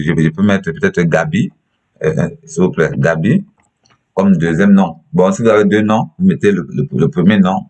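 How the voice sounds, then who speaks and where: A young man with a Cameroonian accent and a very low-pitched voice presents a step-by-step tutorial from his computer. He goes haltingly, often repeating words and phrases as he explains each step. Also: darkish tone.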